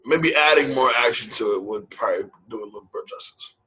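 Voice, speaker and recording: A man's voice: medium pitch, fairly quick, pushed and bright, slightly rough, rough recording. A man co-hosting a movie podcast in casual chat, loose and hype-style, repeating phrases and using slang. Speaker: podcast co-host.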